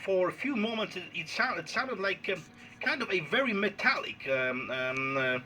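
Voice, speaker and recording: A man with a Polish accent chatting casually as an amateur radio operator, speaking haltingly and searching for words. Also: high voice, expressive, bright, forceful tone. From Poland. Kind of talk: casual radio contact chat. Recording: noisy unplanned handheld phone recording.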